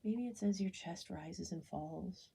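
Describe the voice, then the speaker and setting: A woman guiding a body scan meditation, speaking softly and gently in an unhurried, soothing way, often slipping toward a whisper. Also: on the low side. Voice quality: soft, breathy, often falling into a whisper. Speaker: meditation guide.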